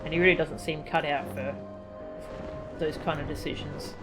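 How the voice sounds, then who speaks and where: A woman's voice: deep voice, fairly even intonation, normal speed. A woman chatting casually as she streams a video game. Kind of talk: casual livestream chat while playing. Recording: game music behind the voice. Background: game music.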